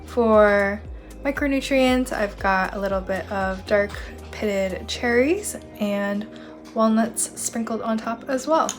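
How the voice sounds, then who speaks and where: A young woman narrating her day in an upbeat, chatty vlog, talking straight to her viewers. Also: mid-range voice. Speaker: vlogger. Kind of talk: casual day-in-the-life narration.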